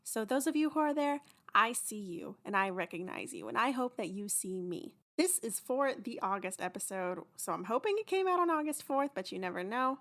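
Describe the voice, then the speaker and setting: A young woman speaks as the host of an audio drama podcast. Her voice sits at an average pitch, and she talks informally and chattily, addressing listeners directly. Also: expressive, normal speed, hardly any pauses, fairly bright tone. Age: young adult. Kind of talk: casual listener update.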